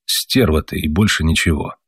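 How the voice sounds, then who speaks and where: A man voicing a bitter, wounded soldier father who reproaches his family and shouts at them. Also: a bass voice, normal speed, breathy edge, dry recording, clean studio sound, pitched below the performer's own voice, exaggerated voice.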